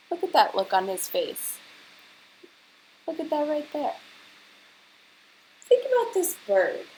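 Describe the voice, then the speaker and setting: A woman teacher reading a picture book aloud to children and pausing to prompt them with questions, with hiss on the recording. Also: mid-range voice, a bit bright, poor audio. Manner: instructional, pausing to prompt young listeners.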